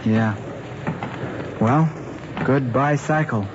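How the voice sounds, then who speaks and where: An actor playing an earnest, aggrieved eighteen-year-old American son in a radio drama, talking in plain slang. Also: male voice, medium pitch, very slow. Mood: resigned, sulky. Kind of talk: mid-century American radio drama acting. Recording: muffled, noisy old radio recording.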